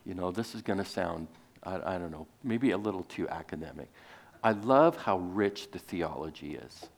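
A man's voice: sits low, expressive, moderate pace. A man whose first language is Greek, preaching a sermon in a rambling way, with many restarts, fillers and small chuckles. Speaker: pastor.

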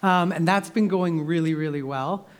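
A young man pastor preaching with casual, emotional asides, laughing often and clearing a scratchy throat into a handheld microphone in a church. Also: a little high, normal speed. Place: church sanctuary.

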